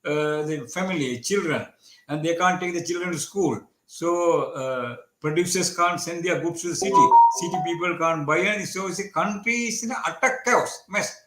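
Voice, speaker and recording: Sri man, high voice, echoey room sound, earnest and stumbly. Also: normal speed, a bit bright, soft background music. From Sri Lanka. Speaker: guest commentator. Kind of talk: interview answers.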